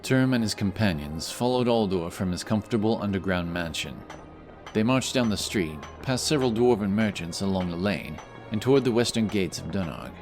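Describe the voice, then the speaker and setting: Male narrator, deep voice, dramatic scripted storytelling, fantasy audiobook, clear music bed. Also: lively intonation, a little fast. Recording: cinematic music behind the voice.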